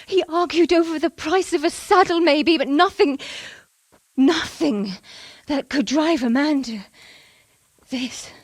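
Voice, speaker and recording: Casting: bereaved medieval countrywoman, game drama, unhurried broken phrasing, close dry sound. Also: high-pitched, no room sound. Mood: bitter. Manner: halting, sorrowful. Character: grieving widowed medieval horse breeder, stricken and bitter. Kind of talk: dramatic video game acting.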